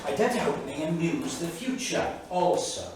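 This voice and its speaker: An actor playing an erudite, anxious Cambridge don reading his 1938 journal in a literary, deliberate, wry theatrical style. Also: on the high side, expressive, darkish tone, natural voice. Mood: grave, hopeful. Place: small studio theatre, live staged reading. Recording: very echoey theatre, distant mic, faint noise.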